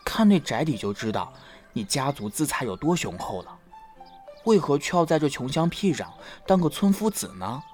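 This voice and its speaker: A man performs a young male fox spirit from a period romance audio drama. He is naive and shy, with a soft, hesitant delivery that swings expressively in pitch. Faint music plays behind him. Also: sing-song, moderate pace. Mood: curious. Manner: soft, hesitant, stammering when flustered.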